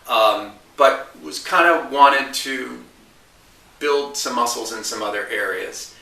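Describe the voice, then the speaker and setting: A man's voice: medium pitch, slow pace, vocal fry, bright, forceful tone. A man in his forties giving thoughtful interview answers about his career, restarting phrases and lifting his voice to stress a point. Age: 40s. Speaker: interview guest, marketing consultant. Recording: roomy, distant mic, faint hiss.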